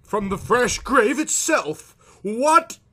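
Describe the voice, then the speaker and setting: A man plays a young husband in a horror comic tale. His voice is husky and swoops dramatically at a slow pace, earnest and protective. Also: extremely high pitch, big pitch swings, bright, forceful tone, pitched above the performer's own voice, heavily acted. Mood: shocked. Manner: earnest, melodramatic.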